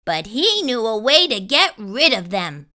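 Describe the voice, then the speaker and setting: A woman reading a children's picture book as an earnest young boy telling his story, in an expressive, drawn-out manner. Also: on the high side, full character voice. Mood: curious.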